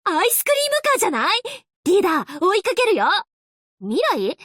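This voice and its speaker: A woman playing an upbeat, playful young angel courier girl in a Japanese anime game, with a perky, teasing, expressive delivery. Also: very high voice, fairly quick, no room sound, studio quality, exaggerated voice. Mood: excited.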